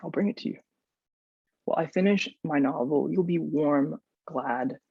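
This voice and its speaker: Youngish guy reading poetry, husky voice, boxy echoey call audio. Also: on the high side, darkish tone, muffled recording. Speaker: poet.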